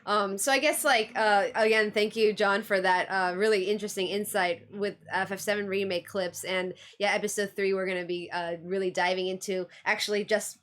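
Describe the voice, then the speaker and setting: A woman's voice: mid-range voice, steady tone, normal speed, few pauses, a bit bright. Giggly woman hosting a chat. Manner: bubbly, giggly, filler-heavy. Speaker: host. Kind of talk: casual chat.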